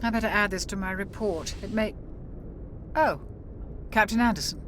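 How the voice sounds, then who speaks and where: An actress playing a calm, caring, mature ship's doctor in a video game, composed and clinical in manner. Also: female voice, medium pitch, normal speed, over loud music, lightly acted. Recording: clear engine-like ship noise behind.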